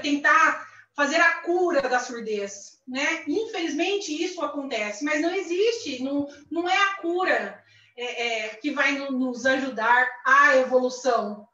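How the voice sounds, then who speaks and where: A woman's voice: high-pitched, sharp tone. A woman with a Brazilian accent voicing a signed talk, hesitant, repeating words and adding tag questions. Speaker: sign language interpreter. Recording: distant echoey lo-fi livestream audio.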